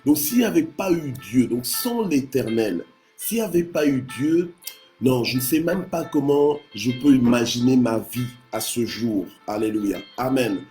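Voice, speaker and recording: Mellow-voiced male preacher from Congo. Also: mid-range voice, expressive, measured pace, faint music behind. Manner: punctuates speech with short exclamations of praise. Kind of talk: devotional sermon on scripture.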